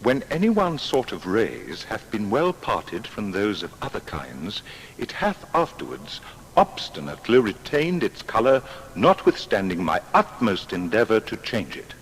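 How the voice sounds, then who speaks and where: Proud scholar, cavernous reverb. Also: male voice, lowish voice, big pitch swings. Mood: measured, absorbed. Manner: formal, measured, deliberate diction. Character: Isaac Newton, proud, exacting 17th-century English natural philosopher. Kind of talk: dramatised reading of period letters and papers. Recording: long hall reverb, faint background noise.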